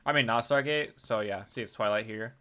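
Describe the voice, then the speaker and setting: Male video host, bright nasal tone, under the weather, casual and chatty breakdown of a match. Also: fairly low pitch, brisk. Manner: chatty, clears throat often, restarts. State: sick, slightly nasal. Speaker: YouTube host analyzing a game replay. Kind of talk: casual game analysis commentary.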